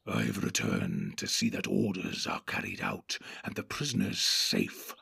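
Sinister orc captain, very low and gravelly, husky whisper, drawn-out hiss. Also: male voice, huge pitch swings, sharp tone, dry recording, exaggerated voice. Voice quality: hoarse and whispery. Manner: drawn-out, hissing and brooding delivery. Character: wheezing, sinister male orc captain, sly and menacing. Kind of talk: audiobook reading.

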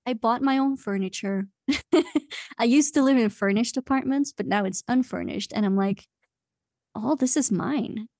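Chatty high-voiced woman in her late twenties. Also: moderate pace, a little mellow, no room sound, studio quality. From Belgium. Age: late 20s. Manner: chatty, laughs often. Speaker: guest. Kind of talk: casual interview chat.

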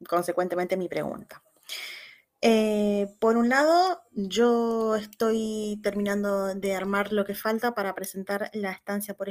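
A woman's voice: mid-range voice, a little mellow. Argentine woman asking politely. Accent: Argentine Spanish. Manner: polite, detailed, thinking aloud with "eh". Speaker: caller. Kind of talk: asking a question on a live call.

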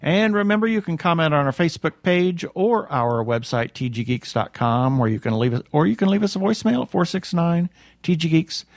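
A man's voice: on the high side, sing-song, a little fast, creaky, no room sound. A man hosting a podcast, casually reading announcements and signing off. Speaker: podcast host. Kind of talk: casual webcast announcements and sign-off.

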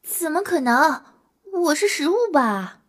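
A woman playing a timid, glum young human captive in an audio drama, grumbling softly. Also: high voice, sing-song, unhurried, pitched above the performer's own voice, exaggerated voice. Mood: flustered protest. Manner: soft, mumbled grumbling.

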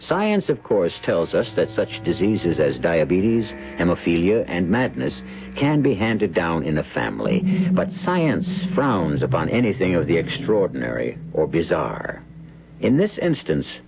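A man's voice: deep voice, huge pitch swings, unhurried, creaky, over music. An American man hosting a radio drama, reading a scripted introduction in a theatrical, portentous manner. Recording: dull lo-fi radio recording.

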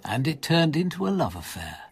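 Composed, husky police commander. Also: male voice, a little high, sing-song, fairly quick, exaggerated voice. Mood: gently probing. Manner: polite, careful, probing. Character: composed, courteous, probing male police commander. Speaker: actor in a radio drama. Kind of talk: radio drama dialogue.

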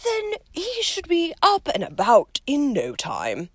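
A woman reading aloud and voicing a cheerful, kindly old male headmaster who speaks in a genial, gently amused way. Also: sits high, wildly swooping pitch, takes it slowly, no echo, clean studio sound, voice pushed up, heavily acted. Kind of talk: storybook reading.

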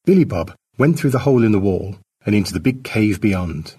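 A man's voice: on the low side, lively intonation, brisk, dark tone, breathy edge. A man reading his own short story as a scripted narration.

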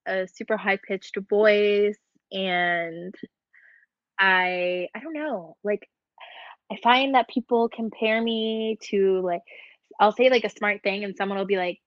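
A woman's voice: mid-range voice, fairly even intonation, slow, no room sound. Chatty young woman, muffled sound. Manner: chatty, rambling, heavy use of "like". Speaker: podcast co-host. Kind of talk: casual chat.

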